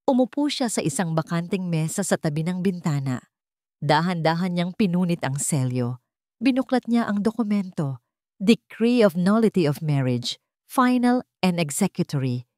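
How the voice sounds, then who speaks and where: A woman's voice: lowish voice, big pitch swings, normal speed, warm tone, no room sound, clean studio sound. A woman reading a dramatic love story as an audiobook narrator.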